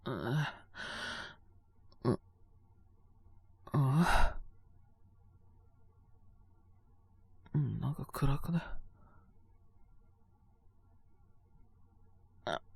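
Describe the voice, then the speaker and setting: A man's voice: medium pitch, expressive, drawn-out words, creaky, husky, exaggerated voice. A man playing a sick, sleepy young boyfriend in an audio drama, grumbling casually in a murmur, exasperated yet fond. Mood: groggy, bewildered. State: coughing, acting sick with a cold. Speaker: voice actor. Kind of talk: Japanese situation voice drama aimed at listeners.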